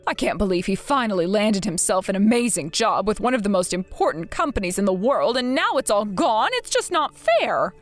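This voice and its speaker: A woman voicing a manipulative, whining mother who pleads and wheedles in a high voice for money in a dramatized story. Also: sing-song, fairly quick, few pauses, faint music behind, close to an everyday voice.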